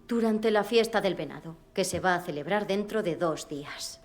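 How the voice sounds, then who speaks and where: An actress with a Castilian Spanish accent playing a focused, skeptical female investigator in a dubbed video game, serious and measured. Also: fairly low pitch, a little hiss or hum, lightly acted. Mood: probing. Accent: Spanish (Spain). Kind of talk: dramatic video game dubbing.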